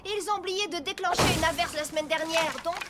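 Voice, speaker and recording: A woman's voice: extremely high pitch, steady tone, a little fast, very noisy. A woman voicing a blunt, hardworking farm pony mare in a cartoon dub, practical and teasing. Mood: exasperated. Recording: loud cartoon music and rain behind.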